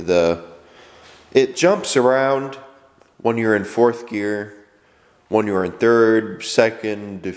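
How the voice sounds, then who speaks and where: A man's voice: lowish voice, big-room sound. A man with a stuffy cold, rambling casually to camera about his lawn tractors and restarting his sentences as he goes. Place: workshop.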